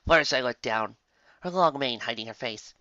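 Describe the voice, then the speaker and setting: A man reading a story as a scripted narrator, with dramatic, expressive delivery on a muffled, dry home recording. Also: mid-range voice, moderate pace. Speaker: fan fiction narrator.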